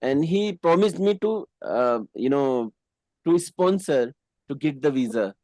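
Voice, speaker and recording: Relaxed man with a mellow voice. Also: a little high, unhurried, no room sound, low-quality recording. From India. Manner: relaxed, hesitant, frequent "you know" fillers. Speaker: English conversation partner. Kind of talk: casual conversation practice.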